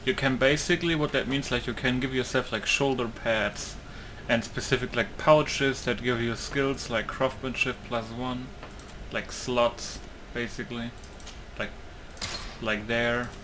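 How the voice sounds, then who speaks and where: A man comments casually on a video game as he plays. His mid-range voice moves slowly and haltingly as he thinks aloud. Game ambience is clearly audible behind him. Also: mid-range voice, steady tone, background noise. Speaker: gaming YouTuber. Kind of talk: casual let's play commentary.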